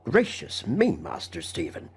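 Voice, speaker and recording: A man's voice: fairly low pitch, huge pitch swings, unhurried, breathy edge, heavily acted. A man voicing a fussy working-class housekeeper woman with folksy, emphatic speech in a storybook reading. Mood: irritable.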